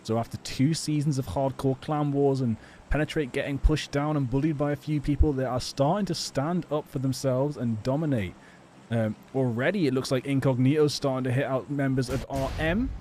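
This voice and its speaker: Mellow British man casting a game. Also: medium pitch, brisk, a little hiss or hum. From England. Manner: rambling, chatty, hesitant. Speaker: esports caster and stream host. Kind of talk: casual live game commentary. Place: home or personal studio.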